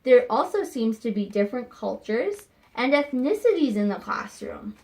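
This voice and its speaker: A woman teacher reading a picture book aloud to young students, expressive and pausing to think aloud with questions for them. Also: a little high. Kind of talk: read-aloud lesson with questions to children.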